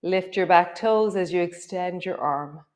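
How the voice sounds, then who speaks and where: A middle-aged woman, gently guiding a yoga class with a slightly stuffy nose. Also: lowish voice. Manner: gentle, encouraging, drawn-out cues. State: stuffy nose from a coming cold. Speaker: yoga teacher. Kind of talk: guided yoga class instruction.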